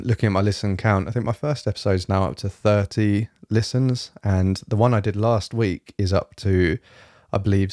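A young man hosting his solo podcast in a rambling, fairly monotone way, with lots of fillers and a slightly rough throat. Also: on the low side, no echo, clean studio sound. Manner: rambling, many fillers and restarts. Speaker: podcast host. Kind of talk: casual solo podcast chat. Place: home, evening, quiet room.

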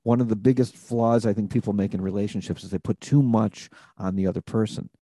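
A man's voice: on the low side, fairly even intonation, a little fast, dark tone, slightly rough, no room sound. A man hosting an interview podcast, talking in an earnest, reflective way about purpose and connection. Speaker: podcast host. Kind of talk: conversational interview.

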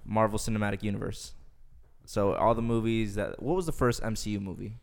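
A man's voice: on the low side, fairly even intonation, a little fast, gravelly. A man from Charlotte, North Carolina, co-hosting a casual podcast and steering the chat with loose banter. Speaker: podcast co-host.

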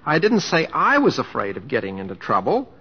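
Homespun, self-effacing Oklahoma humorist, husky voice, vintage radio drama, dull sound. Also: male voice, medium pitch, wildly swooping pitch, fairly quick, exaggerated voice. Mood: worried, bantering. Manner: folksy, homespun grammar. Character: humble folksy cowboy humorist, drawling, wry, self-deprecating.

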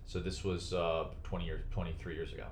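A very low-pitched man in his forties, originally from Chicago, speaking as a guest in a long, reflective interview. He talks earnestly and thoughtfully, restarting his phrases as he reaches for the right words. His voice carries a roomy echo. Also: little pitch movement, a little fast, slight background noise. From United States (Chicago). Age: 40s. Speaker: podcast guest.

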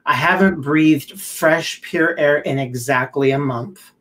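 A man's voice: fairly high pitch, slow, fairly bright tone. A man on a video call, talking candidly and with animation, dropping in bits of humor. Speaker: guest. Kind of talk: casual video call chat.

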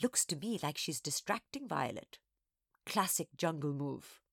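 Woman doing a mischievous, lively old lady. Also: medium pitch, moderate pace, no echo, clean studio sound, natural voice. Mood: wry, approving. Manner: gasping, chuckly, conspiratorial. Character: mischievous, sputtering old woman, gleeful former schoolgirl prankster.

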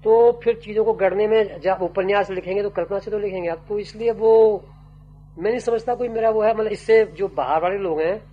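Older man musing, dull taped sound. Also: high voice. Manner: slow, musing, trailing off with fillers. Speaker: writer and poet interviewee. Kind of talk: reflective interview answers. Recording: dull old tape with faint hum.

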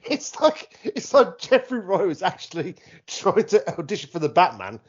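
A British man in loose, comic podcast banter, rambling and swearing freely. His voice is husky and fairly high, leaping all over in pitch as he mimics characters. The sound is a little dull and lo-fi. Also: big pitch swings, normal speed. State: tipsy. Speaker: podcast co-host. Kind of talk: casual comedic banter about films.

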